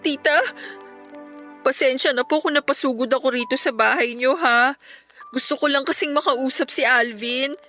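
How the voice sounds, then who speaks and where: A woman playing a gentle, polite young girlfriend in a Filipino radio drama, earnest and deferential, with a Filipino accent. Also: sits high, moderate pace, faint music behind. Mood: meek, upset.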